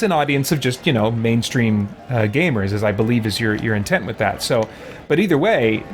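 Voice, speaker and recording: A man hosting a game playthrough, chatting casually in a halting, thoughtful, rambling way. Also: medium pitch, a little hiss or hum. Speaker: gaming streamer, host. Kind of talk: casual chat while playing.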